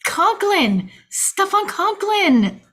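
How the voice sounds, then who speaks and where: A woman's voice: extremely high pitch, big pitch swings, drawn-out words, fairly bright tone, voice pushed up, exaggerated voice. A woman playing a frightened young agency staffer, pleading and defensive, in a screenplay table read. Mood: frightened, desperate.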